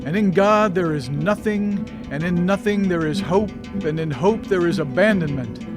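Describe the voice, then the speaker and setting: A man's voice: high-pitched, normal speed. A man performing spoken-word poetry as an incantatory recitation. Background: loud music.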